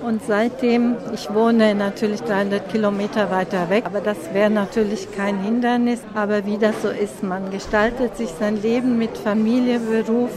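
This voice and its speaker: A woman's voice: medium pitch, steady tone, normal speed, hardly any pauses. A middle-aged woman giving reflective answers in an interview as a visitor to an event. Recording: busy crowd chatter behind. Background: crowd in a public space.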